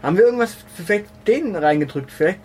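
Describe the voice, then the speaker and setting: A man with a somewhat high voice co-hosts a casual comedy podcast. He rambles through deadpan jokes, stumbling over fillers and restarting his sentences. A faint hiss of background noise sits under the recording. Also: fast talker. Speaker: podcast co-host.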